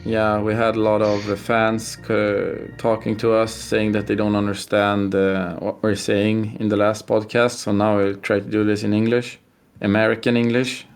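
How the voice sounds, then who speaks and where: A young man with a Swedish accent talking haltingly as a podcast co-host, leaning on fillers. His voice sits low with a gravelly texture and stays flat in pitch. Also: faint music behind. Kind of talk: casual chat.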